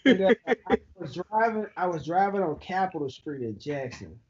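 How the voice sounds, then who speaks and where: A man, telling an animated story in a casual podcast chat. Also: moderate pace, dull audio. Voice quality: high-pitched voice. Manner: animated storyteller who laughs easily.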